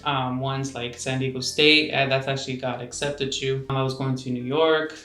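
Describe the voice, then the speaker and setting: Young guy around 20 from the states, bright voice, lots of um's, echoey room. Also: mid-range voice, flat delivery, moderate pace. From United States. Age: 20s. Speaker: guest. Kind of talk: casual interview answers. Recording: roomy echo, faint music behind.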